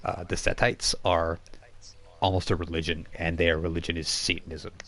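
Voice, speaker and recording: A man hosting a tabletop role-playing stream, chatting casually and explaining things in a halting way with false starts. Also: low voice, flat delivery, vocal fry, fairly bright tone. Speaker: game master and live stream host. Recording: faint background noise.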